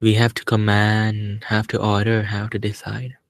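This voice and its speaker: A man with a fairly low voice guides a meditation in a very even, level tone. He speaks calmly in short phrases and leaves long pauses between them, like a teacher giving gentle step-by-step instruction.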